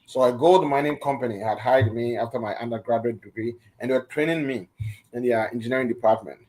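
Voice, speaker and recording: A man's voice: mid-range voice, fast talker, a little mellow, rough recording. Middle-aged man, earnest guest, stumbles and repeats words. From Ghana. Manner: earnest, repeats and restarts phrases. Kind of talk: radio interview.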